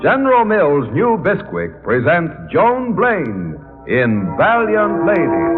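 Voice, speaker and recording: A man announcing in 1940s radio style. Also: on the high side. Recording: tinny old radio broadcast, long reverb, music. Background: loud music.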